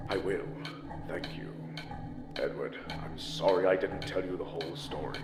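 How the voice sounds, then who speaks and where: Haunted elderly grandfather: very low register, grave, earnest, theatrical delivery for a mystery game, clear musical bed. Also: steady tone, moderate pace. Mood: pleading, earnest. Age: older. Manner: grave, theatrical, ominous, formal phrasing. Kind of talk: dramatic game narration and dialogue. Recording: music underneath, sometimes echoey.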